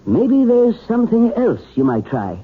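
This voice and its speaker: A kindly family doctor with a very husky, raspy voice that swings widely in pitch. He talks in a folksy, reassuring way. The sound is tinny and narrow, like an old radio drama. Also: wildly swooping pitch, a little slow, full character voice.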